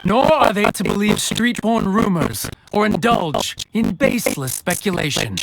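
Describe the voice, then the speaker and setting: High-pitched, creaky town herald. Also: male voice, very animated, a little slow, background noise, full character voice. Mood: solemn. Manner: declamatory, archaic formal diction. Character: formal town herald reading an official proclamation. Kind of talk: scripted video game announcement. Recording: game music behind the voice.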